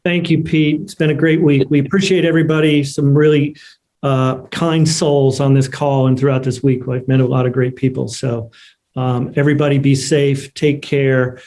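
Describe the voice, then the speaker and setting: Male webinar moderator, mid pitch, flat intonation, polite and appreciative, casual delivery. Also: normal speed. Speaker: online event moderator. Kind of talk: casual closing remarks. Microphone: online video call.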